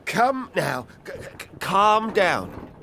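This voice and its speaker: An actor playing a nervous, cowardly ship's captain in a horror audio drama, stammering and placating. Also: male voice, high-pitched, big pitch swings, very slow, creaky, pushed and bright, a little hiss or hum, full character voice.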